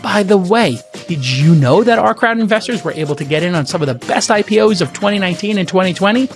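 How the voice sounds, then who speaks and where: High, animated male ad reader over music. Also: fast. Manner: promotional, emphatic. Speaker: podcast host reading a sponsor ad. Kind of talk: scripted ad read.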